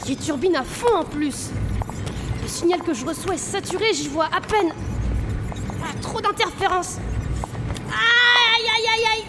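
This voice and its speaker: A woman playing an excitable, impatient sidekick in a comic sci-fi audio drama, flustered and outspoken. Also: very high-pitched, unhurried, pauses often, over music.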